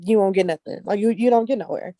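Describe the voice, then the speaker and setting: A woman with a mid-pitched voice shares personal stories as a guest in a casual interview. She is earnest and animated, with colloquial phrasing and frequent check-ins with the listener. The sound is close and dry. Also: fast talker, no echo. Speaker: guest, actress and casting director.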